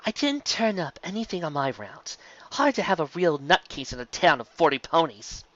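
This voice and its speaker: A man voicing a brash, mocking female pony guard, an excitable loose cannon, in an audiobook story. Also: a little high, moderate pace, no echo, dull audio, pitched above the performer's own voice.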